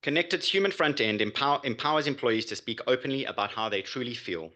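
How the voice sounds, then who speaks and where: A man delivering a prepared pitch as a startup founder. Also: animated, quick pace, sharp tone. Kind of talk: prepared business pitch presentation.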